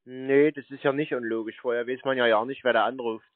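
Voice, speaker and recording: A man's voice: mid-range voice, steady tone, fast, dry recording. A man arguing casually on a phone call in a matter-of-fact, contradicting way. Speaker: scam-baiter taking a call. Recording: narrow phone-like sound, dry.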